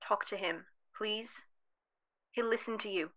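A woman playing an earnest facility staff member in an audio drama, gently persuasive and pleading. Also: mid-range voice, a little slow, natural voice.

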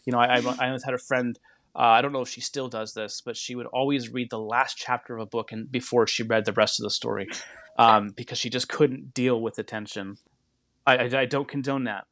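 A man's voice: medium pitch, fairly even intonation, fairly quick, a bit bright, no echo. A man speaking as a podcast guest, thinking aloud and explaining in a reflective, conversational way.

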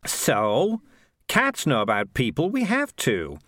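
A man's voice: mid-range voice, wildly swooping pitch, slow pace, a bit bright, dry recording, studio quality, full character voice. A man voicing Morris, a cynical streetwise male cat who talks in a wry, grumbling, sarcastic way.

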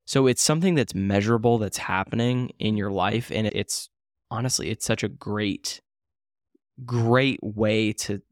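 A man in his late twenties chats casually as a podcast co-host. His voice sits a little low, and he rambles with fillers and restarts, often poking fun at himself. The sound is dry and close. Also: a little slow, dry recording. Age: 20s. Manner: rambling, self-deprecating, frequent fillers and restarts. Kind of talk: casual chat.

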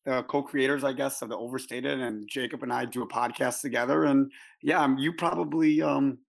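A man whose voice is raspy and gravelly after a weekend of celebrating. He talks in a laid-back, easygoing way as a podcast guest, filling gaps with ums. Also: mid-range voice, fairly even intonation, fairly quick.

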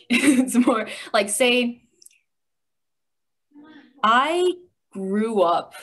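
Halting, giggly young American woman. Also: fairly high pitch, animated, fairly bright tone. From United States. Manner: halting, giggly, thinks aloud. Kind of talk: casual chat.

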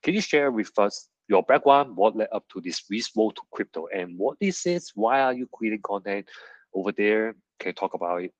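A man with a husky voice hosting a podcast, introducing a guest and asking questions. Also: on the low side, animated, moderate pace, no echo, dull audio. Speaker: podcast host. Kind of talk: scripted introduction and interview questions.

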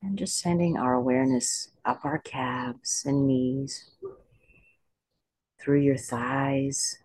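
A meditation teacher leading a guided body scan in slow, unhurried phrases with pauses. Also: medium pitch, a little mellow, husky, no echo.

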